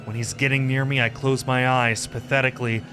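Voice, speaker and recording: A man reading a visual novel game aloud as a streamer, with expressive narration and casual asides. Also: medium pitch, brisk, music in the background.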